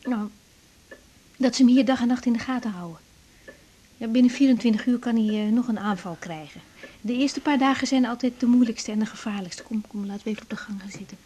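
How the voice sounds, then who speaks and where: A woman's voice: a little high, fairly quick, mellow, husky, rough recording. An actress playing a young woman doctor in a radio drama, firm, practical and reassuring.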